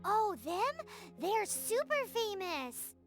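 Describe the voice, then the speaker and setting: An actress voicing a tiny, childlike, cheeky fairy companion in a video game with a squeaky voice. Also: female voice, very animated, slow pace, exaggerated voice. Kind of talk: scripted video game voice acting. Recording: game music behind the voice.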